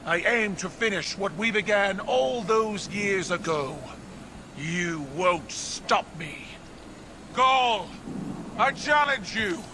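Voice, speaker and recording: An actress playing a proud alien warrior empress in a video game, commanding, formal and defiant. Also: female voice, mid-range voice, lively intonation, takes it slowly, noisy background. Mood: defiant, challenging. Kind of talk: video game dramatic dialogue.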